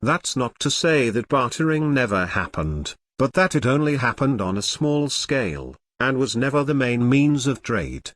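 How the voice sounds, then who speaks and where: Man narrating, earnest and varied in pitch. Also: sing-song, moderate pace, few pauses, fairly bright tone. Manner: earnest, didactic, formal. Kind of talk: scripted explainer narration.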